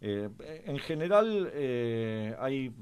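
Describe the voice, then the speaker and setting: A man's voice: medium pitch, big pitch swings, extremely slow, darkish tone, clean tone. An Argentine man discussing history conversationally, hesitating often as he searches for his words. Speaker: history programme panelist.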